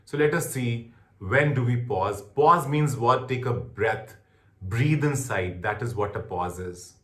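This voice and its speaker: A man's voice: mid-range voice, a little slow, a bit bright, roomy sound. A man with an Indian accent teaching exam tips as a trainer, emphatic and repeating his key points. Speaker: test-preparation trainer. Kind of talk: instructional lecture on exam tips.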